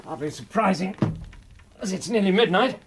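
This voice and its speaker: An English actor playing a genial, mildly fussy gentleman doctor and loyal companion in a radio drama. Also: sits high, lively intonation, normal speed, slight background noise. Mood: grumbling. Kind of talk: BBC radio drama acting.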